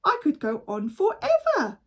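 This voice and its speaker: Excitable little mother for a children's tale, performed by a female reader: high, swooping, emotional and fluttery. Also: measured pace, exaggerated voice. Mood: delighted. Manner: exclaiming, fluttery. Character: excitable, tearful mother turned tiny bird-like.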